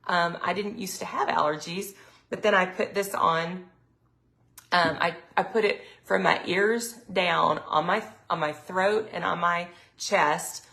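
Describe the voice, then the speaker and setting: A middle-aged woman, chatting casually on a live sales stream, her throat a little raspy from allergies. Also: fairly low pitch, fairly even intonation, unhurried, pushed and bright. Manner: chatty, lots of ums, reads viewer comments aloud. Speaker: product consultant hosting a live sales stream.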